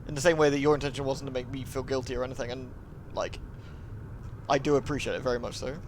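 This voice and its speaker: A man's voice: medium pitch, steady tone, fast, a little hiss or hum. A man livestreaming, switching between polite, thankful chat with viewers and reading narration aloud. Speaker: live streamer reading a visual novel aloud.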